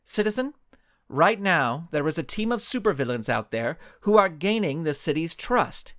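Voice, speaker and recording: An impatient superheroine in a comic audio story, read by a man. The delivery is assertive and insistent. The pitch moves freely in a highish register. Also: very animated, moderate pace, a bit bright, no room sound, clean studio sound.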